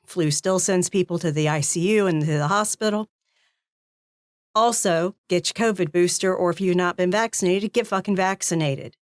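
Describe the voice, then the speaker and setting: A woman with an American accent co-hosting a game stream, talking in a plainspoken, deliberate way. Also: fairly low pitch, no echo, clean studio sound. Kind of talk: casual stream outro talk.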